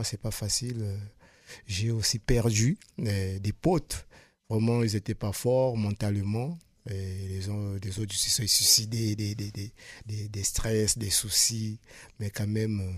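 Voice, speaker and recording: Man, radio guest, sincere halting testimony, low voice, dry studio. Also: no room sound. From Republic of the Congo. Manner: hesitant, many pauses and repeated words, sincere. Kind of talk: earnest personal testimony.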